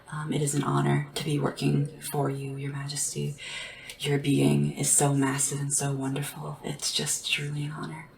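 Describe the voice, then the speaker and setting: A woman speaking softly and whispering in an ASMR roleplay as a polite, hesitant, deferential sleep assistant to royalty. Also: sits low, creaky, far from the mic, a little hiss or hum, low-quality recording. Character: nervous, devoted royal sleep assistant to a dragon ruler.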